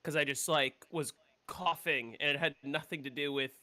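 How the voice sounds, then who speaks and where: A man's voice: fairly high pitch, steady tone, normal speed, pushed and bright. A man chatting casually while he streams a game, rambling and opinionated and swearing freely. He sounds congested, like he is coming down with a cold. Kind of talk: casual gameplay chat.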